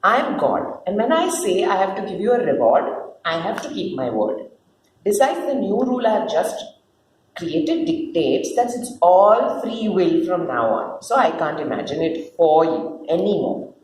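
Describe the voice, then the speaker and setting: A woman's voice: mid-range voice, very animated, moderate pace, darkish tone, close to an everyday voice. A woman voicing God as a grand female creator in a play reading, regal, indulgent and impatient, with grandly declarative delivery. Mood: impatient, commanding. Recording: roomy echo with faint noise.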